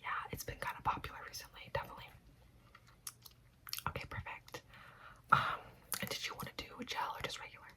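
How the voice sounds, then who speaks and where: A woman's voice: brisk, pauses often, bright, forceful tone. A young woman in an ASMR roleplay, whispering as a chatty, gossipy nail technician.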